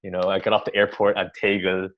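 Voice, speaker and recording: A man answers interview questions slowly and reflectively. He circles back, repeats words and hesitates often. The recording is dull and lo-fi. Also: sits low, completely flat delivery. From Taiwan, raised in the US. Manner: thoughtful, halting, many restarts and ums. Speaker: orchestra music director. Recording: dull lo-fi sound.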